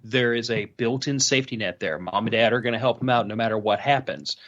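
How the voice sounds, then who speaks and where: A man's voice: medium pitch, fairly quick, a bit bright. A man on a discussion panel, explaining his points earnestly in casual speech and repeating phrases for emphasis. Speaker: panel participant. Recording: slightly dull, lo-fi sound.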